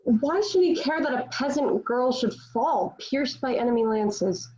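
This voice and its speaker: A woman performs a young, devout peasant girl who is defiant and sure of herself. Her voice is fairly high, with earnest, formal period phrasing. The recording is somewhat dull, as from an online call. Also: animated, a little slow, a little mellow, slight background noise. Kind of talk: dramatic table read of a period stage play. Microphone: video call.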